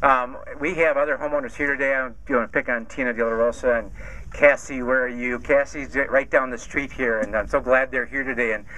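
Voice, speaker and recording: A man's voice: mid-range voice, steady tone, brisk, bright, forceful tone, a little hiss or hum, rough recording. A man, executive director of a charity, introducing speakers at an outdoor event in a friendly, hesitant way. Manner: friendly, hesitant, many "uh" fillers. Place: outdoors at a house build site.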